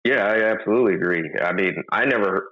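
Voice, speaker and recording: A man's voice: lowish voice, fast, highs cut off. A man from Maryland, a documentary filmmaker, talking as an interview guest with many fillers and restarts. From Maryland, USA. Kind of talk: conversational interview.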